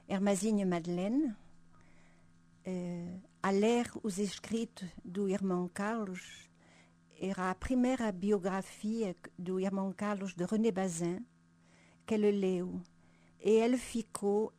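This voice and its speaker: Slow, thoughtful woman. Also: mid-range voice, dark tone. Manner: thoughtful, searching for words, frequent "hein?" tags. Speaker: religious sister, radio guest. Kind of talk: reflective conversational explanation.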